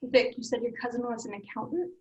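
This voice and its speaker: A woman's voice: a little high, steady tone, quick pace, close to an everyday voice. An actress playing a bossy, sardonic young woman leading her friends, in a dry screenplay table-read delivery. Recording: slightly dull remote call audio.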